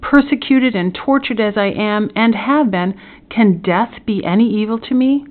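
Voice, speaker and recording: A woman's voice: mid-range voice, normal speed, narrow, tinny audio, pitched above the performer's own voice, lightly acted. A woman voicing a tormented, melodramatic young man in an impassioned, formal storybook reading. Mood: despairing. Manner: impassioned, exclamatory, formal old-fashioned phrasing.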